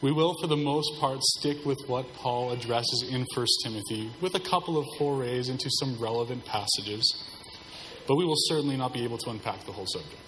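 A hoarse man preaching a sermon as pastor, keeping a measured, subdued delivery to spare his voice. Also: medium pitch, fairly bright tone. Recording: hall reverb, faint background noise.

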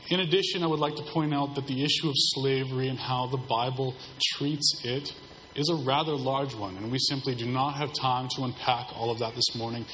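A hoarse man preaching a sermon as pastor, keeping a measured, subdued delivery to spare his voice. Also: mid-range voice, fairly even intonation, a bit bright. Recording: hall reverb, faint background noise.

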